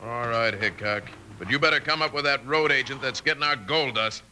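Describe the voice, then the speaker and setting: An American actor playing a hot-headed mine foreman with a gruff, threatening western manner of talking in a radio drama. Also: mid-range voice, a little fast, bright, forceful tone. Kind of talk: old-time radio western acting. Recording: noisy vintage radio recording.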